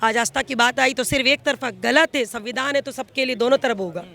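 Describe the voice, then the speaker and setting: A woman speaks in a very high, piercing voice with a forceful edge. She argues passionately, pressing her points insistently as a member of the public in a heated debate. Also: fairly quick. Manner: impassioned, argumentative, insistent.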